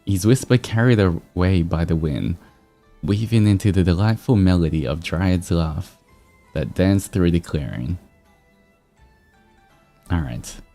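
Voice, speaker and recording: A man hosting a livestream, moving between expressive story reading and casual, amused chat. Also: low voice, unhurried, pauses often, faint music behind. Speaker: livestream host reading fan fiction aloud.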